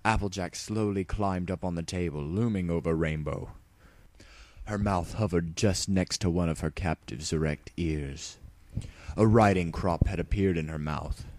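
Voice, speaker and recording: A man's voice: low voice, unhurried, darkish tone, no room sound. A man narrating a story as a tongue-in-cheek dramatic reading.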